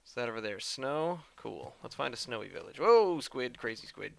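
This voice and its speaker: Chatty man with a gravelly edge. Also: mid-range voice, normal speed, no room sound. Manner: chatty, self-deprecating, easily excited. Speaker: gamer commentator. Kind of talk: let's-play commentary.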